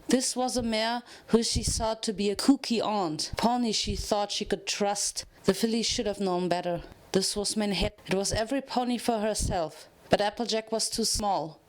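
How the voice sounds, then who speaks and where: A woman narrating a scripted story as a dramatic reading. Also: mid-range voice.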